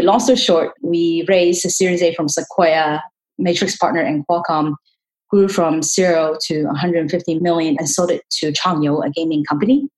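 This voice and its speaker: A woman's voice: low-pitched, a little mellow, low-quality recording. A woman. She talks casually as an interview guest, telling stories and explaining her views in a conversational flow. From Hong Kong. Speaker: venture capital partner, interview guest.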